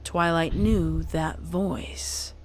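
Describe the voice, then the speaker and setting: A woman reading a dark story as dramatic scripted narration. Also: on the low side, steady tone, extremely slow. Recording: clearly noisy background.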